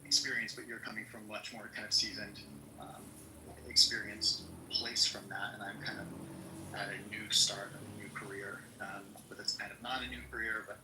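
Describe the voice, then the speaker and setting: Halting, thoughtful man, very bright voice. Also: on the low side, slight background noise. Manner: halting, thoughtful, many restarts and fillers. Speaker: psychology student, discussion participant. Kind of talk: casual group discussion. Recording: echoey room.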